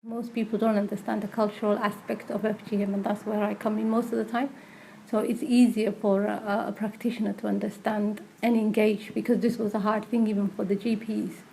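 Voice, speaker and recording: A woman's voice: medium pitch, flat delivery, fairly quick, gravelly, dark tone, a little hiss or hum, rough recording. A woman who works as a community health practitioner, talking conversationally in an interview.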